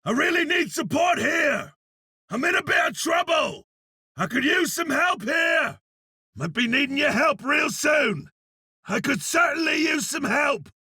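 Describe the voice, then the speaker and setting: An actor playing a seasoned, courteous frontier gunslinger in a video game, with a gravelly, raspy voice and a folksy drawling manner. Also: male voice, very high-pitched, bright, forceful tone, no echo, studio quality, full character voice. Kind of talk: video game character voice lines.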